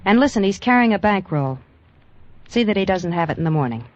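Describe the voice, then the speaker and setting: A woman's voice: mid-range voice, big pitch swings, a little fast, natural voice. Woman acting ruthless radio noir crime queen, muffled recording. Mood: cool, scheming. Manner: cool, imperious, clipped orders. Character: ruthless, cold female crime boss, seductive and commanding. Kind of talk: 1940s radio noir acting, with first-person narration. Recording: dull old radio recording, noisy.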